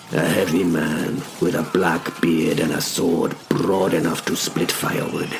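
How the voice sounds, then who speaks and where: Deep, slow, gravelly male storyteller. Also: noisy background. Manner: deliberate, pausing between short phrases. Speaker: narrator. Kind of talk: dramatic first-person scripted narration. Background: music.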